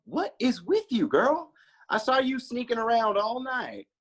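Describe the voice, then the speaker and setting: A woman's voice: fairly high pitch, big pitch swings, measured pace. A woman playing a bartender's blunt, teasing confidante in a screenplay table read. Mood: suspicious.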